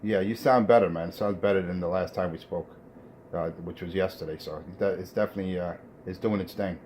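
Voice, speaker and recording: A man's voice: sits low, steady tone, fast talker, background noise. A man chatting casually over the radio, affirming and ending lots of phrases with "man". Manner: says "man" often, affirming. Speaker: radio contact.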